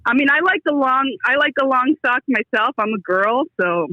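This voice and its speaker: A woman's voice: high-pitched, animated, moderate pace, dry recording. A woman calling in to a comedy podcast, chatting casually in a rambling, joking way over muffled call-in audio. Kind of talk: casual chat. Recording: dull, band-limited call-in audio.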